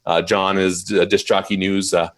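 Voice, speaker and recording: A man who runs a mobile DJ company, chatting in a casual live interview and telling stories with lively jumps in his voice. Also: sits low, moderate pace. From Minnesota, USA. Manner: animated storyteller, voice jumps up when quoting.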